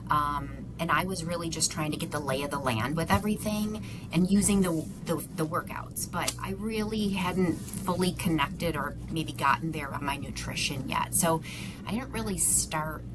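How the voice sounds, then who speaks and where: Low-voiced fifty-year-old woman, noisy backdrop. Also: normal speed. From Buffalo, New York. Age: 50s. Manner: friendly, modest, many ums. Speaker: guest. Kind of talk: casual interview chat.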